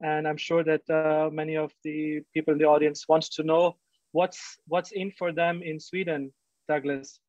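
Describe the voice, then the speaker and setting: A man's voice: a little high, level tone, normal speed, darkish tone, dry recording. Man hosting a webinar, dull dry audio. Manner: hesitant, filler-heavy. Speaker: webinar host. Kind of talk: moderating a webinar. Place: room with bookshelves, video call.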